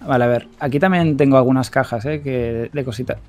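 A man's voice: mid-range voice, fairly even intonation, brisk, a little mellow. A man in his twenties with a Spain accent, chatting casually on a close mic while streaming a game. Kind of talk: casual chat while gaming. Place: indoor gaming setup.

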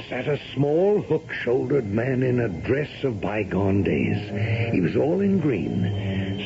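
An actor playing a solemn gentleman narrator, telling an ominous tale in dramatic radio style. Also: fairly low pitch, gravelly, full character voice. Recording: lo-fi noisy vintage radio recording.

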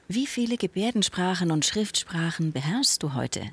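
An interviewer who also narrates, reading a documentary voice-over in a clear, even way. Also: mid-range voice, normal speed.

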